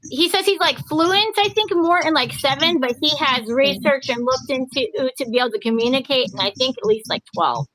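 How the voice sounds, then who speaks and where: A woman's voice: sits high, fairly quick, few pauses, fairly bright tone, slight background noise, poor audio. A woman in her forties hosting a casual livestream chat, talking in a chatty, giggly way. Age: 40s. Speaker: livestream host.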